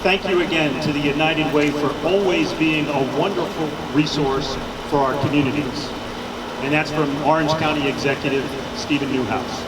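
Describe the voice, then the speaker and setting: A man's voice: a little high, fairly even intonation, normal speed, far from the mic, very noisy. A man, the board chair, reading out prepared remarks at an outdoor charity event. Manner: formal, reads prepared remarks. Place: outdoor parking lot.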